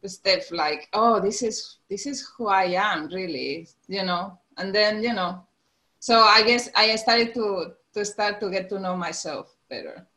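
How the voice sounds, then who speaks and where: Spanish-accented woman, laughing and animated. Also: mid-range voice. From Spain, Canary Islands. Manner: laughs often, restarts sentences, animated retellings. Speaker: podcast guest. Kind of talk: casual interview conversation.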